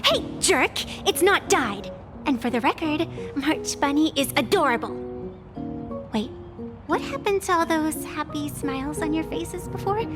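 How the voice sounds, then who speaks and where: An actress playing a cheeky, sharp-tongued young woman in a video game, teasing and sarcastic. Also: high voice, expressive. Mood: indignant. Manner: teasing, sarcastic, quick to bite back. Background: background music.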